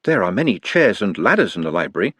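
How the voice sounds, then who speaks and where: A man voicing a gentle, soft-spoken orc who talks in a quiet, patient and formal way. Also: on the low side, very animated, fast, slightly rough, no echo, studio quality, heavily acted. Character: gentle, soft-spoken orc under self-hypnosis, polite.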